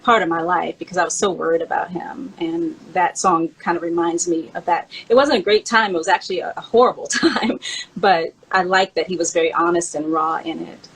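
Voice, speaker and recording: A woman's voice: lowish voice, a little fast, fairly bright tone, husky, poor audio. A woman in a casual conversation, reminiscing in a candid, conversational way. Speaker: host and memoir author counting down favorite songs. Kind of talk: casual chat, personal reminiscing.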